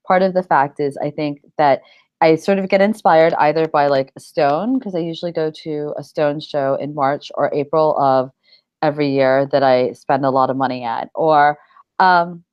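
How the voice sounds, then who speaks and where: Low-pitched middle-aged woman, chatty interviewee. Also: moderate pace, a little mellow, clear, resonant tone, dry recording, lo-fi sound. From United States. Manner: rambling, informal, frequent "like" and "you know". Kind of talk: casual interview chat.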